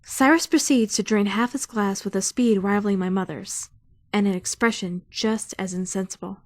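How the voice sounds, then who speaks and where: A woman's voice: mid-range voice, fairly even intonation, moderate pace, no echo, studio quality, close to an everyday voice. Woman acting a prim, dramatic young student. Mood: disgusted. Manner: formal, precise wording with theatrical indignation. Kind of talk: first-person visual novel fan dub narration and dialogue. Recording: music behind the voice.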